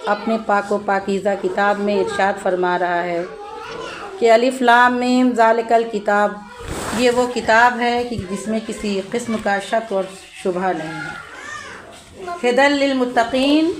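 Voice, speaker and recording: A woman's voice: mid-range voice, a little slow. A woman orator delivering a Shia religious sermon in a declamatory way, using rhetorical questions and repeated phrases. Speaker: majlis orator. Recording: live gathering with crowd noise. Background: congregation crowd.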